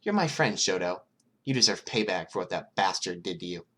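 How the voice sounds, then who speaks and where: Man as a calm, friendly young strategist. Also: low-pitched, fairly quick, exaggerated voice. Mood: warm, loyal. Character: friendly, calculating young male strategist for villains.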